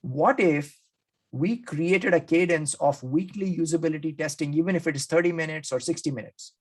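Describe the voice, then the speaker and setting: A man's voice: a little high, moderate pace. A man hosting an interview, enthusiastically recapping his takeaways and acting out quoted speakers. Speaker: podcast host.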